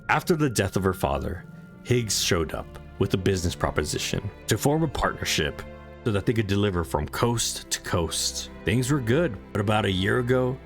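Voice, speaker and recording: Guy doing a scripted story recap, low voice, music under him. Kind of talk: scripted narration.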